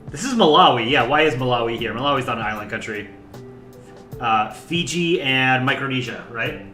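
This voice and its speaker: A young man hosting a casual livestream, chatting in a relaxed, rambling way and thanking viewers by name. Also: mid-range voice, echoey room. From United States. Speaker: livestream host. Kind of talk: casual chat.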